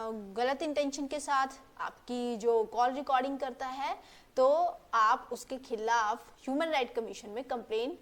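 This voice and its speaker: A woman presenting an informal explainer lesson, rephrasing points as she goes and mixing English legal terms into her speech. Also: sits high.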